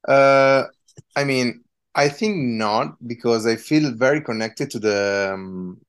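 A man's voice: mid-range voice, slow pace, clear, resonant tone. A man with an Italian accent, in his thirties, a punk musician answering interview questions in a hesitant, casual way with many fillers. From Italy.

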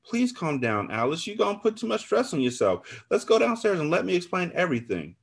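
Man voicing a pleading, anxious woman, pitched high. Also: very animated, moderate pace. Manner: pleading, placating. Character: guilt-ridden woman narrator pleading with her angry friend.

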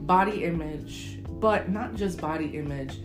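A woman's voice: on the low side, measured pace. A young woman from Miami hosting a casual podcast, upbeat and gushing, drawing out her emphasis. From Miami, USA. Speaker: podcast host. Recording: echoey room with guitar music.

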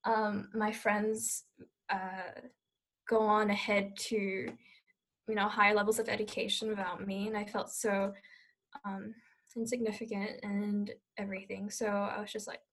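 A woman's voice: medium pitch, level tone, a little slow. A young adult woman telling a personal story in a casual, candid conversational way.

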